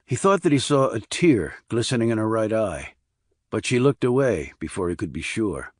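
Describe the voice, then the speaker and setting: A man's voice: fairly low pitch, animated, normal speed, dry recording, clean studio sound. A man reading a crime thriller audiobook as scripted narration.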